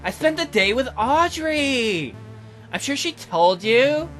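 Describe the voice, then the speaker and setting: A woman's voice: high-pitched, sing-song, slow, soft background music, pitched above the performer's own voice, exaggerated voice. A woman voicing a superficial, defensive and bitchy young woman in a visual novel. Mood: defensive, curt.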